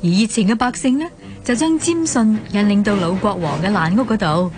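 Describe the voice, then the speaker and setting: Female Cantonese storyteller, mid-pitched. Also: darkish tone. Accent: Hong Kong Cantonese. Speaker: narrator. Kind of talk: scripted storytelling narration for radio drama.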